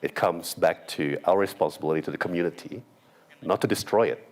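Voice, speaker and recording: A man with an American accent speaking as a panelist, deliberate and often asking "right?" between points. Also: low voice, a little mellow. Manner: deliberate, clears throat, asks "right?" often. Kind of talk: panel discussion remarks.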